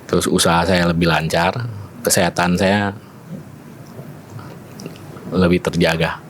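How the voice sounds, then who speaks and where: Slow, very deep-voiced man. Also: level tone. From Jakarta, Indonesia. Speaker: training participant giving a testimonial. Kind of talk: plain halting testimonial. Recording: faint background noise, room ambience.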